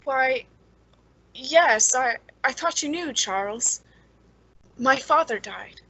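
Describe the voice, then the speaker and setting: A woman's voice: high-pitched, animated, slow, sharp tone, slightly rough, full character voice, low-quality recording. An actress playing a lonely, eager, nervously polite woman in an old-time radio suspense drama, hesitant and genteel. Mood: nervous, friendly.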